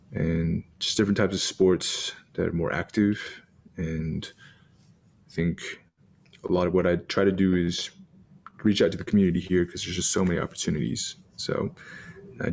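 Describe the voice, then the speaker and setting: A man's voice: deep voice, steady tone, moderate pace, creaky, darkish tone. A man from Texas, a pastor, introducing himself casually on a podcast in an upbeat, joking way.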